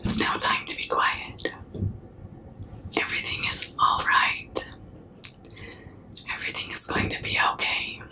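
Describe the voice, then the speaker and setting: A young woman doing a comforting ASMR roleplay in a breathy, unvoiced whisper, hushing and soothing up close to the mic. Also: far from the mic, low-quality recording. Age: young adult. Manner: slow, hushing, soothing, repetitive. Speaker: ASMR performer. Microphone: close mic, very near the camera.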